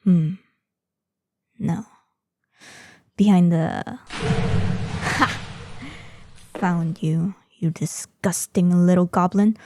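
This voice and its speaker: A woman playing an ancient, proud female dragon in a scripted audio roleplay, imperious in manner, with a breathy voice that lapses into whispers. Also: lowish voice, fairly even intonation, warm tone, no room sound. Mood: taunting hunt. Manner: slow, imperious, savoring threats. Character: ancient, menacing, proud female dragon guarding hoard, lonely, excitable.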